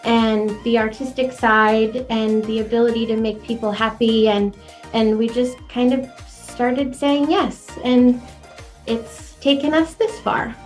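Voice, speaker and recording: A chatty woman florist in her forties tells her story with a young-sounding, slightly high voice. She talks in an animated, easy way. Soft music plays behind her. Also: unhurried. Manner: chatty and animated, laughs easily. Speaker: florist and business owner. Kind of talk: conversational interview.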